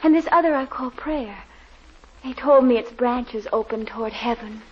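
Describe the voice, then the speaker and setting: A woman's voice: fairly high pitch, moderate pace, lightly acted. An actress playing a trusting young blind peasant girl in an old radio drama, earnest and dreamy. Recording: dull vintage radio recording.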